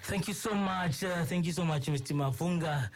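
A man's voice: fairly high pitch, normal speed. A man hosting a radio interview, speaking formally and courteously, with many fillers and repeated phrases. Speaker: radio host. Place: radio studio.

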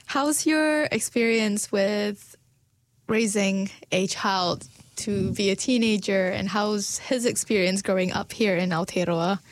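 A woman hosts a conversation, asking questions in a warm, encouraging way. Her voice sits in a middle range, and the recording is dry and close. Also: medium pitch, slow pace, dry recording. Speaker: host. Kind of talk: interview.

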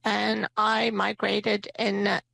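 A woman's voice: medium pitch, monotone, slow pace, gravelly, bright, forceful tone. A woman from Myanmar who moved to New Zealand, telling her story informally and light-heartedly as a podcast guest. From born in Myanmar, moved to New Zealand as a child. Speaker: podcast guest, property investor and entrepreneur. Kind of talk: casual personal storytelling in an interview.

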